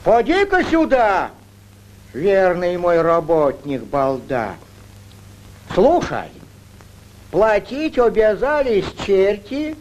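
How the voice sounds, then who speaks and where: A man's voice: sits high, a little mellow, muffled recording, full character voice. A male actor playing a crafty, stingy old priest in a 1940s cartoon, declaiming rhymed verse theatrically on an old film soundtrack. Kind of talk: 1940s Soviet cartoon acting, rhymed fairy-tale verse.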